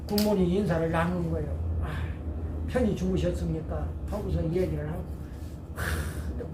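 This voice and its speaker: A middle-aged or older man preaching as a pastor, emphatic and folksy, telling personal anecdotes. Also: sits high. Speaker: pastor and former seminary professor. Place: church service. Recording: echoey church, distant mic, faint noise.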